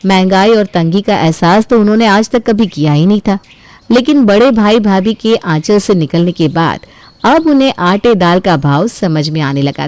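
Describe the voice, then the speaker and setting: A woman's voice: medium pitch, a little mellow. A woman narrating a scripted family story as its storyteller.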